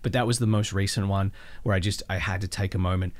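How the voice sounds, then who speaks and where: A man chatting casually as a podcast guest and voice actor, animated and playful, often acting out his own asides. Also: sits low, quick pace. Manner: animated, self-quoting, playfully profane. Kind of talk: casual interview chat with acted-out asides.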